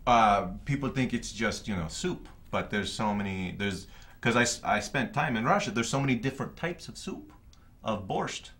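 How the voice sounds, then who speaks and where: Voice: medium pitch, animated, a bit bright, lightly acted. A performer playing a muddled male dialect-coach guest who rambles, hesitates and stumbles over fillers. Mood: earnest. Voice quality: halting, rambling, full of fillers. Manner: muddled, contradicts himself. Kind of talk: improvised comedy talk-show guest.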